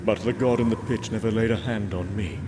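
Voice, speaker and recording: A man voicing a brooding god who narrates in the first person, solemn and measured, in a scripted comic book drama. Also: fairly low pitch, steady tone, background noise, natural voice. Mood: haunted, solemn. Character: brooding male god recalling a haunting horror. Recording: dramatic music behind the voice.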